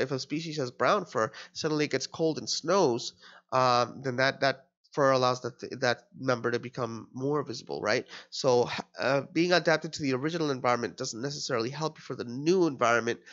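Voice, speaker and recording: A stuffed-up, nasal man in his thirties explains a test question at his desk, pausing on "uh" and "um" and restarting as he reasons aloud. His voice sits at an ordinary pitch. The recording is dull and low-fidelity, like a webcam mic. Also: fairly bright tone. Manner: thinking aloud with fillers and restarts. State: nasal from a cold. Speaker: tutor.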